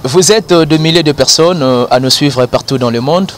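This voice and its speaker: A man's voice: fairly high pitch, normal speed, slight background noise. A man presenting culture news while sick with flu and a cough, formal with frequent hesitations. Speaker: web TV presenter.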